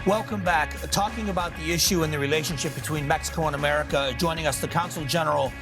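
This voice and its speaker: A man's voice: on the high side, music in the background. A man hosting a local TV news show, reading a scripted news roundup and interviewing a guest in a studio. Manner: brisk, clear presenter delivery.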